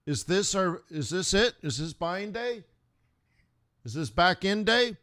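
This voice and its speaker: A man's voice: high voice, lively intonation, a little slow, fairly bright tone. A middle-aged man hosting a live trading stream, talking casually in rambling asides and laughing often. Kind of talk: casual rambling livestream talk.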